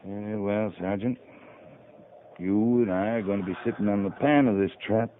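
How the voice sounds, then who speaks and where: A man's voice: on the low side, moderate pace, slight background noise, close to an everyday voice. Casting: male actor as frontier cavalry captain, clipped and authoritative, muffled vintage radio sound. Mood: stern. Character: commanding, terse cavalry captain of the frontier West. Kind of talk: 1950s radio western acting. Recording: muffled narrowband 1950s radio recording.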